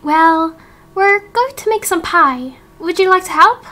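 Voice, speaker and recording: A woman voicing a gentle, friendly, curious mother in a game roleplay, in a sweet, inquiring way. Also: extremely high pitch, animated, a little slow, full character voice. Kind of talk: Minecraft roleplay voice acting.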